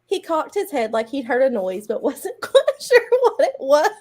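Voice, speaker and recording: A woman's voice: high-pitched, sing-song, moderate pace, darkish tone. A woman narrating a story in a playful, amused way during an amateur group reading.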